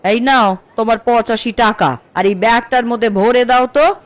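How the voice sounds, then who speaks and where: A woman's voice: a little high, normal speed, no room sound, natural voice. A woman playing an assertive, sensible housewife in a Bengali cartoon, speaking firmly. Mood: firm, reasoning.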